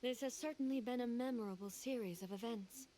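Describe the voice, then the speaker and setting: A woman voicing a composed, regal female deity in a game, measured and formal. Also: a little high, dark tone, close to an everyday voice. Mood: solemn. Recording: game audio with music behind.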